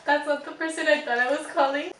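A woman's voice: sits high, fairly even intonation, fast, fairly bright tone. A young woman chatting casually and playfully in a vlog. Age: young adult. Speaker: vlogger. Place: bedroom, indoors. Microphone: camera a few feet away. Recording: roomy, distant mic, faint noise.

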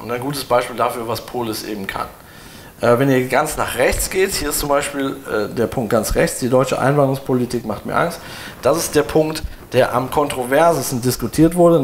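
A man's voice: medium pitch, slight background noise. German man explaining results with fillers. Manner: explanatory, loose, with many fillers and restarts. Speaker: presenter explaining poll results. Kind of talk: walking viewers through a results screen. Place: room with window blinds, indoors.

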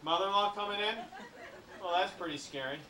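A man with a fairly high voice jokes with a lounge audience on a cruise ship, teasing them for their answers. He is taped from among the seats, sounding roomy and a little distant over the crowd. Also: a little fast. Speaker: comedian on a ship stage. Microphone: handheld mic. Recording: roomy home video from the crowd.

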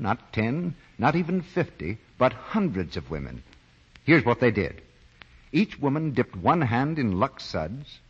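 Husky, expressive male radio announcer. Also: mid-range voice. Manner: polished, persuasive. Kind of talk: 1940s radio commercial announcing. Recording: muffled 1940s radio recording.

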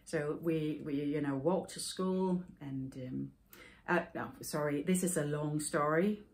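Danish woman in her forties, low voice, slow and searching delivery, podcast host talking to a webcam at home. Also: a little mellow. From Denmark. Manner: thoughtful, halting, with many fillers and restarts. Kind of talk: casual personal storytelling. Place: home room with yarn shelves, indoors.

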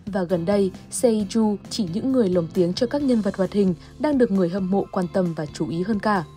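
A woman's voice: medium pitch, moderate pace, mellow, faint music behind. A woman reading scripted narration for a television news report.

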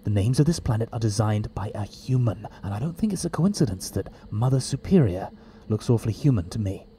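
A man playing a quick-witted, eccentric time traveller in an audio drama, wry and explanatory. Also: fairly low pitch, lively intonation, warm tone, close to an everyday voice. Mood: wry, thinking aloud.

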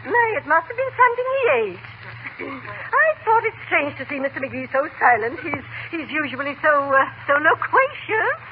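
A pompous society matron, high-pitched and swooping, gushing in a fluttery, affected way. It is old radio comedy acting, heard through a tinny, narrow broadcast sound. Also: female voice, sing-song, normal speed, a little hiss or hum. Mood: gracious, fluttery.

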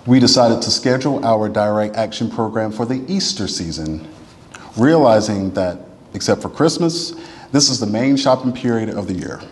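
A man reading a written text aloud in a formal, oratorical style, with measured, deliberate and emphatic phrasing. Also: fairly low pitch, animated. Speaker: reader at a church event. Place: large church interior. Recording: reverberant large hall.

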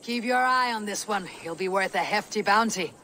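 An actress playing a steely, calculating Viking warrior woman in a video game, speaking in a terse, commanding way with a husky low voice. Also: normal speed, bright, forceful tone, slight background noise, natural voice. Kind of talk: video game cutscene acting.

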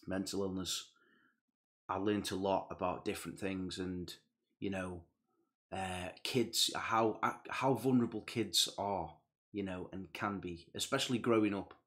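A man's voice: low voice, fairly bright tone. A young man hosting a music reaction video, chatting casually and enthusiastically, turning earnest on serious points, speaking into a headset in a small room. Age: young adult. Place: small indoor room with gaming chair and purple lights.

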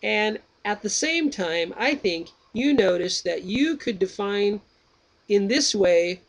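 A man reading aloud the lines of Socrates, a patient older philosopher who questions his pupil in slow, deliberate phrases. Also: high-pitched, expressive, voice pushed up, full character voice, rough recording. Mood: probing.

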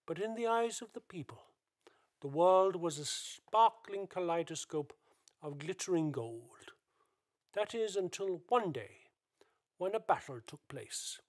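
A male narrator tells a radio play tale in a dramatic, scripted style. His delivery is unhurried, and his voice sits a little high.

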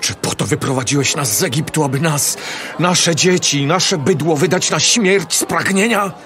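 A man's voice: a little high, moderate pace, fairly bright tone, close to an everyday voice. An actor playing an angry, thirsty man from a mob, accusing and agitated, in a biblical radio drama. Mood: angry accusation.

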